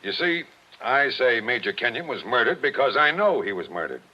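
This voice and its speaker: An actor playing a shrewd, blunt police captain, in a 1940s radio drama, asking wry, pressing questions. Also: male voice, mid-range voice, big pitch swings, moderate pace, bright, forceful tone, full character voice. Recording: muffled old radio broadcast.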